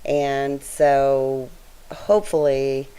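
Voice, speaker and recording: A woman with a weak, raspy, low voice, chatting casually in a voice-over as she narrates her scrapbooking work. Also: little pitch movement, very slow. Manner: soft-spoken, chatty, straining to be heard. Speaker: scrapbooking channel host.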